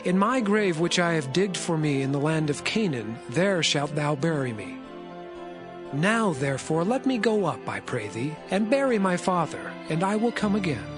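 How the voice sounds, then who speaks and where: Grieving biblical son played by a man, humble and pleading, highish voice with big melodic swings, music behind. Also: big pitch swings, moderate pace. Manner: pleading, declamatory. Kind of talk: dramatized scripture audio. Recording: music under the voice.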